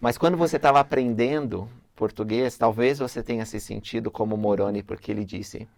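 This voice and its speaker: A man's voice: lowish voice, a little fast, vocal fry, a little mellow, no echo. Man teaching a casual scripture lesson. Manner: conversational, thinks aloud, asks questions.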